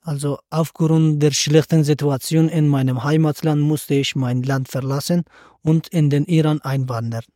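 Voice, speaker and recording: A man's voice: on the high side, steady tone, moderate pace, dark tone, no room sound, studio quality. A man, a student guest giving earnest, prepared answers on a school podcast. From Afghanistan. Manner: earnest, reads prepared sentences with some fillers.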